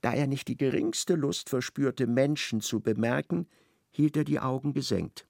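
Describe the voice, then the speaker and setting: A male narrator tells a radio play in a measured, ironic way. His voice has a gravelly grain and sits mid-range. The studio sound is dry. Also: mid-range voice, darkish tone, dry recording.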